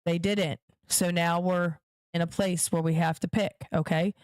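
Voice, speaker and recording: A woman's voice: fairly low pitch, fairly even intonation, a little slow, darkish tone, no echo. A woman hosting her own podcast, giving emphatic political commentary straight to her viewers and repeating points for stress. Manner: direct address to viewers. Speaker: podcast host.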